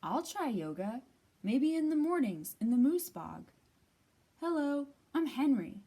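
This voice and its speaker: A woman's voice: high voice, very animated, a little slow, warm tone, breathy edge, heavily acted. Woman as a confiding, melodic storybook moose. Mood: friendly, confiding. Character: friendly moose, outdoorsy secret-keeper, picture book.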